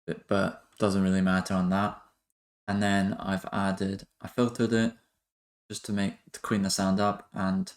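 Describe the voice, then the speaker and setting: Male tutorial host, low register, very even delivery, halting and informal, working through steps aloud. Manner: hesitant, halting, thinking aloud. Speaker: music production tutorial host. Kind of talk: casual software tutorial narration.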